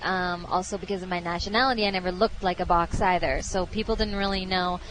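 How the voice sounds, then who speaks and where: A woman singer-songwriter giving relaxed, casual interview answers at an outdoor fairground. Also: fairly low pitch, fast talker, background noise. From Canada. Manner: relaxed, laughs easily, uses um and like.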